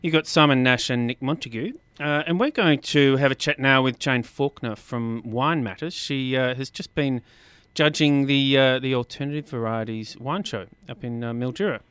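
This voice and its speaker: Aussie radio host guy, mid pitched, easygoing and a bit hesitant, lots of uhs. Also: normal speed, few pauses, clear, resonant tone, no echo. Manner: relaxed, hesitant with frequent uhs. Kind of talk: casual radio talk show.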